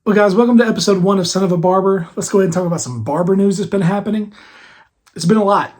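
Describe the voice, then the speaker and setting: A hoarse man hosting a barber podcast, chatting casually on his own with lots of fillers and restarts. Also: sits high, fast, a little mellow. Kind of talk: casual solo podcast chat.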